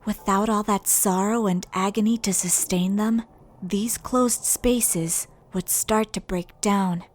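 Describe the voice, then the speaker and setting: A woman voicing a composed, solemn dead schoolgirl in a game dub, speaking in a measured, formal way. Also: mid-range voice, steady tone. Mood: grave. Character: solemn dead high school paranormalist girl ghost. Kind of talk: anime game fan dub acting.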